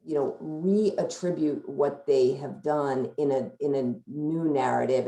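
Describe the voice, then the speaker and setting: A woman with a low, gravelly voice talks through a book analytically. Her tone is mellow and her sentences loop and hedge as she thinks. The sound is roomy and echoing. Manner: hedging, circling sentences with frequent "sort of". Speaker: discussion leader at a book talk. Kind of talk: thoughtful analytical book discussion.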